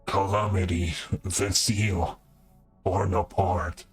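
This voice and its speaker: An actor playing an ominous, tormented ghostly soldier in a video game, giving halting, grave warnings. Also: male voice, deep voice, little pitch movement, slightly rough, heavily acted. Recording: game audio under music.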